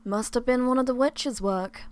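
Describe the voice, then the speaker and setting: A woman's voice: a little high, moderate pace, smooth, dry recording, close to an everyday voice. A woman voicing a sly, knowing witch in an amateur roleplay, teasing in tone.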